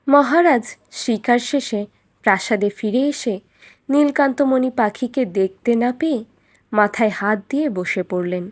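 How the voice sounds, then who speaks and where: A woman storyteller reading a scripted fairytale in an expressive, dramatic way. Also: on the high side, moderate pace.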